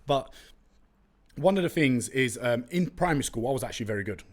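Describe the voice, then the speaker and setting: A man's voice: mid-range voice, brisk. A young man from London with a London accent, a native Turkish speaker, chatting casually and slangily as a solo podcast host on a close studio mic. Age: young adult. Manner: chatty, slangy, animated storytelling. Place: room with brick walls, indoors. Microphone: close studio condenser mic with pop filter.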